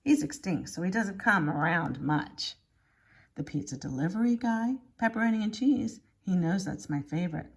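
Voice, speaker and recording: Animated, husky woman reading a storybook aloud. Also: fairly low pitch, normal speed. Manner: expressive, exaggerated emphasis with dramatic hushes. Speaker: elementary school teacher reading aloud. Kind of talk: animated children's picture book storytelling.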